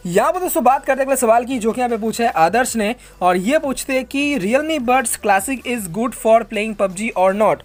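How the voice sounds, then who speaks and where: A man's voice: extremely high pitch, animated, a little slow, few pauses. A man answers viewer questions in a casual, informal vlog style, addressing listeners like friends.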